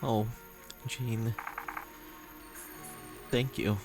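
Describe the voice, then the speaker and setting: Man voicing young male dating-game character, slow halting pace, anguished, earnest, tender, medium pitch, clear music behind. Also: steady tone. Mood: tender, wistful. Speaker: voice actor reading a visual novel. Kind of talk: emotional dramatic game dialogue reading. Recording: music playing under the voice.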